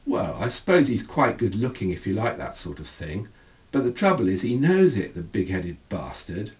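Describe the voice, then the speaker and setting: A man's voice: lowish voice, very animated, moderate pace, recorded from a distance. A man voicing an indignant, scornful teenage girl in a book reading, emphatic, dismissive and colloquial. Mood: grudging, indignant. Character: indignant, scornful teenage girl ranting about her boyfriend.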